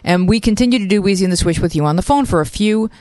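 A middle-aged woman co-hosting a podcast in a studio, talking in a quick, chatty and playful way. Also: medium pitch, a little mellow, no room sound. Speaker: podcast co-host. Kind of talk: casual chat. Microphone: studio microphone.

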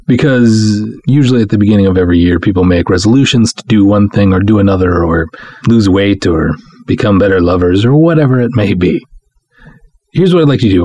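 A man hosting a podcast, addressing the listener directly in a deliberate, emphatic monologue with dramatic pauses. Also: on the low side, darkish tone. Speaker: podcast host.

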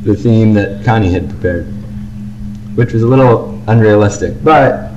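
A man's voice: fairly low pitch, normal speed, darkish tone, dull audio, faint music behind. A man co-hosting a casual fan podcast, talking about things he likes with effusive enthusiasm. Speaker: podcast co-host. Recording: noisy amateur podcast recording.

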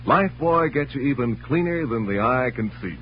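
A man's voice: medium pitch, animated, fairly quick. A man with an American accent announcing in a polished, emphatic 1940s scripted radio style. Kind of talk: 1940s scripted radio narration and sponsor pitch. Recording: muffled, noisy old radio recording with hum.

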